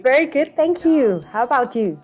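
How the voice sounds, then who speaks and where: High-voiced middle-aged Mexican woman telling stories. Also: animated, normal speed, a little mellow, soft background music. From Mexico City, Mexico. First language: Spanish. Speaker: interview guest, health coach. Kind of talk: casual personal storytelling.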